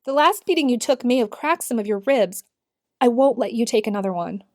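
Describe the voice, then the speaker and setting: A woman's voice: fairly high pitch, expressive, fairly quick, darkish tone, no room sound, clean studio sound, natural voice. An actress playing an anxious, caring young woman held captive, earnest and emotional, in a radio-style audio drama. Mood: worried, caring.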